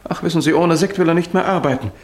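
A man's voice: fairly high pitch, fast talker, a little mellow, echoey room, natural voice. A man playing a nervy, gossipy little literary agent in a 1960s radio drama. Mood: sneering.

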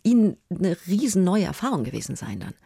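A woman's voice: fairly low pitch, sing-song, a little fast, vocal fry, mellow, husky, no echo, studio quality. A woman hosting a conversational arts interview, asking questions as a radio interviewer.

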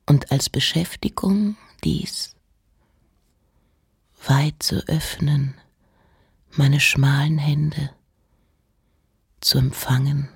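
A woman playing a reflective, intense poet in a slow, intimate dramatic recitation of letters and verse. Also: deep voice, full character voice. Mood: hushed wonder. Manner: measured, intimate, often dropping to breathy whispers.

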